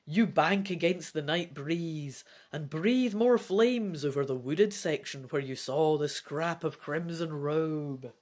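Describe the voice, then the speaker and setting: A gamebook reader and host giving dramatic, deliberate storybook narration with casual asides. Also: mid-range voice, darkish tone. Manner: theatrical, deliberate phrasing.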